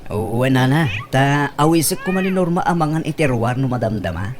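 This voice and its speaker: An eager young suitor, played by an actor, speaks with an Ilocano accent in a comic radio drama. His medium-pitched male voice moves lively up and down, earnest and obliging, over clear background noise. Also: a little fast, close to an everyday voice. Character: eager, lovestruck young suitor, polite to elders. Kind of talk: Filipino comedy radio drama acting.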